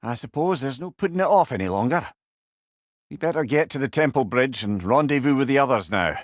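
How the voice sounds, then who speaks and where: A man playing a wise, witty older companion in a video game, with a Scottish accent and a wry, avuncular, expressive manner. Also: mid-range voice, fairly quick, dry recording, clean studio sound, close to an everyday voice. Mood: guiding, calm. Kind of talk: video game character dialogue.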